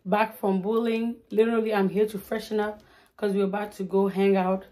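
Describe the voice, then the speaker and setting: A young woman vlogging casually into a handheld phone camera, chatty and animated, filmed in a car at night and later at home. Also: medium pitch, fairly quick. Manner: chatty and animated, lots of "like" and "you guys". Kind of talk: casual vlog chat. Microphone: phone camera held close. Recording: noisy phone recording with car noise.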